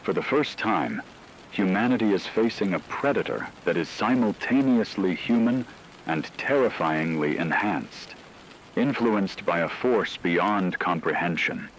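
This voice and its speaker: A man playing a solemn radio news anchor, delivering scripted, ominous disaster reports in a measured way. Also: low-pitched, expressive, a little hiss or hum, poor audio. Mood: grave, ominous. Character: grave male radio news anchor reporting a werewolf apocalypse. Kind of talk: scripted dramatic news narration.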